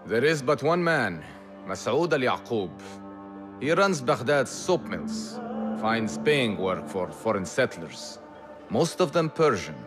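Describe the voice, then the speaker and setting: Flamboyant, animated rebel leader. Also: male voice, mid-range voice, takes it slowly, over music. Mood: informative. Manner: grandiloquent, theatrical. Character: flamboyant proud male rebel leader, theatrical and eager. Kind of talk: video game cutscene acting.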